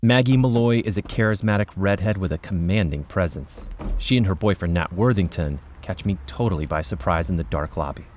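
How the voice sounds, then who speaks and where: A man's voice: sits low, expressive, a little fast, darkish tone. An actor playing a wry, uneasy investigator who narrates his own case in a dry, storytelling way for a scripted podcast. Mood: wry, tense.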